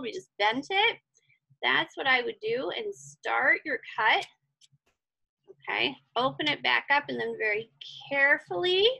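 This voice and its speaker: High, lively, bright-voiced woman teaching crafts. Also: creaky, poor audio. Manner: chatty, encouraging, thinks aloud while working. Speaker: craft instructor. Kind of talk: casual step-by-step craft tutorial.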